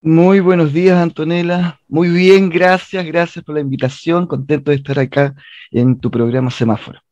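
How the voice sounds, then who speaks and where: A man's voice: a little high, fairly even intonation, moderate pace, darkish tone, no room sound, highs cut off. A man with a Chilean accent giving an earnest, explanatory interview as a theatre director, telling stories and repeating phrases for emphasis.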